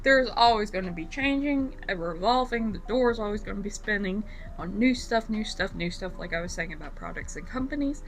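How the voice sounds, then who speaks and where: A young woman with a raspy voice from bronchitis, giving rambling, casual tips on becoming an esthetician to her viewers. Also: medium pitch, animated, brisk. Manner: rambling, lots of fillers and repeats. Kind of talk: casual vlog talk to camera.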